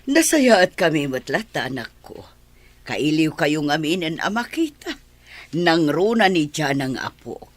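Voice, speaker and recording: A woman's voice: low-pitched, very animated, measured pace, no room sound, heavily acted. A woman acting as a doting elderly grandmother in a radio play, fond and chuckling. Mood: warm. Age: older.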